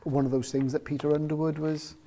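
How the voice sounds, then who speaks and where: A man from South Wales, a parapsychologist guest talking in a measured, explanatory way with frequent ums. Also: fairly high pitch, level tone, background noise. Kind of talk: casual interview chat.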